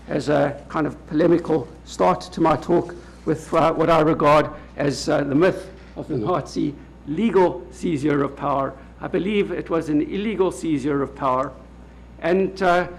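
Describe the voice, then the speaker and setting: South African man lecturing, halting delivery. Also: a little high, rough recording. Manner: measured, many hesitant "uh" fillers. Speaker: legal academic speaker. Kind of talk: formal lecture. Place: lecture hall at a public event.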